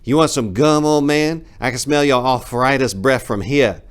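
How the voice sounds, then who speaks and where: A man's voice: medium pitch, moderate pace, clean tone, pitched above the performer's own voice, natural voice. A man voicing a small, wiry young gangster who is cocky, flamboyant and taunting, in a dramatic story reading.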